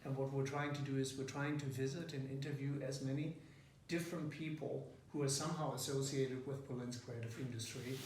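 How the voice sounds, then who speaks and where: A man's voice: mid-range voice, fairly even intonation, a little fast, few pauses, a little mellow. A man introducing an interview project to a company team, talking hesitantly with many fillers. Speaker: interviewer leading a student group. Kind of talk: casual meeting introduction. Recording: echoey room, far from the mic, faint noise.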